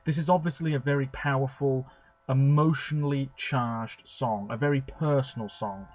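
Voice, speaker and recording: A man rambles on in a chatty, opinionated way, full of fillers and asides, his pitch sitting in an ordinary middle range. He talks to the camera from a room at home, as a video blogger sharing his views. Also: fairly even intonation, moderate pace, a little mellow. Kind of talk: rambling commentary.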